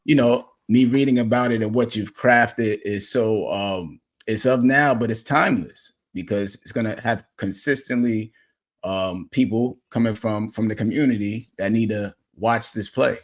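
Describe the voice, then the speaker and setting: A man's voice: lowish voice, moderate pace. A man hosting a casual podcast interview, affirming his guest and speaking with frequent fillers. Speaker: podcast host.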